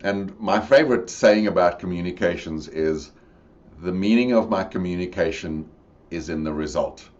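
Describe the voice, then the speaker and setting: Podcast guest: deep-voiced South African man, middle-aged, thoughtful explainer, dull lo-fi audio. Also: moderate pace, a little mellow. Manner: reflective, expansive explainer. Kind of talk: conversational interview.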